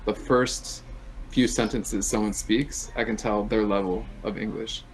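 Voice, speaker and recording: A man who speaks English natively, working as a relaxed, encouraging tutor and asking questions on a video call. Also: on the low side, a little slow, crowd noise, poor audio. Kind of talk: casual conversation practice call.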